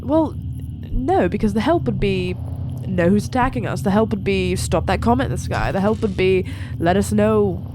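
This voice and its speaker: A woman's voice: medium pitch, normal speed, background noise, lightly acted. A woman playing a troubled demigod hero in a tabletop roleplay, asking probing questions in a blunt way. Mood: frustrated. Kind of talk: improvised in-character tabletop roleplay. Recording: music playing under the voice.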